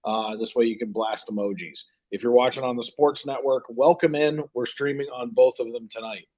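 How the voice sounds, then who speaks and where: A man's voice: medium pitch, fairly even intonation, normal speed, warm tone. A man hosts a live hockey stream and calls the game. He talks in an informal, chatty way, a bit scattered as he juggles tasks while welcoming viewers. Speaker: live stream host calling hockey play by play. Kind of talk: casual live sports stream chat, greeting viewers.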